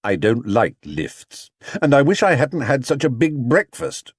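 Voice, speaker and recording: A man voicing a polite young bear from a children's storybook, speaking slowly and plainly. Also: mid-range voice, sing-song, breathy edge, no room sound, studio quality, pitched above the performer's own voice, exaggerated voice. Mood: queasy, mournful.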